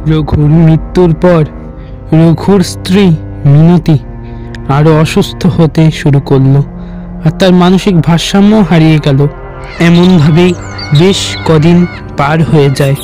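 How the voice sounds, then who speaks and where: Male horror storyteller: very measured pace, slightly high pitch, dramatic scripted delivery, soft music underneath. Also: fairly even intonation. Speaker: story narrator.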